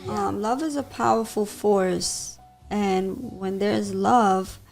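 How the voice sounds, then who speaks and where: A woman's voice: mid-range voice, fairly even intonation, slow, creaky, darkish tone, soft background music. A woman from the Bronx, co-hosting a couple's podcast and giving heartfelt advice to listeners. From the Bronx, New York. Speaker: podcast co-host. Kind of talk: casual couple podcast talk.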